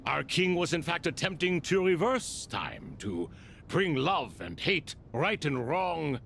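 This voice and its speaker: A man playing an ancient, courtly sage in a video game, speaking in formal, deliberate, dryly playful phrases. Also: on the high side, big pitch swings, vocal fry, a bit bright, slight background noise, exaggerated voice. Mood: reflective, solemn.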